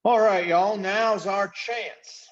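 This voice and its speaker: A man's voice: high voice, fairly even intonation, slow pace, lo-fi sound, voice pushed up. A man voicing a bossy, folksy chief of an invisible creature gang in a storybook reading. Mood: rallying. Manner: commanding, folksy.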